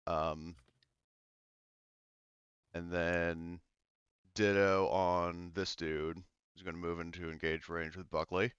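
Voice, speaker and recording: A man's voice: sits low, little pitch movement, takes it slowly, pauses often, a bit bright, clean tone, no echo, lo-fi sound. A man acting as game master in a tabletop game, chatty and loose, with lots of fillers and the odd swear. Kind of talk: running a tabletop game, casual.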